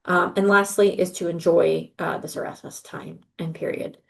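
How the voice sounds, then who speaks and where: A middle-aged woman speaks on a video call in a lowish voice with a gravelly edge. She briefs students in an earnest, reassuring way, pausing often on ums and uhs. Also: fairly even intonation. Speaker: study abroad advisor.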